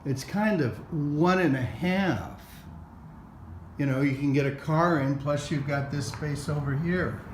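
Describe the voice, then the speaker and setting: A middle-aged man thinking aloud as he walks through a house at an open house, filming with a handheld camera. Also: fairly high pitch. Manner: musing, halting, thinking aloud. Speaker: house-hunting vlogger. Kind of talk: casual walkthrough commentary. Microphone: handheld camera mic. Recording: echoey rooms, background noise.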